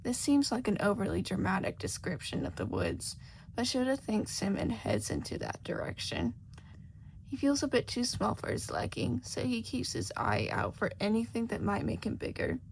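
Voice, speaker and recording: A woman's voice: medium pitch, wildly swooping pitch, moderate pace, creaky, slight background noise, poor audio. A woman reading scripted fiction as a story narrator. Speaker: podfic narrator.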